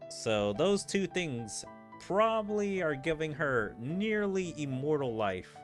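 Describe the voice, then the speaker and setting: A man's voice: fairly high pitch, sing-song, takes it slowly, soft background music. A man reading game story aloud and adding casual, rambling commentary as a streamer. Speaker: gaming streamer.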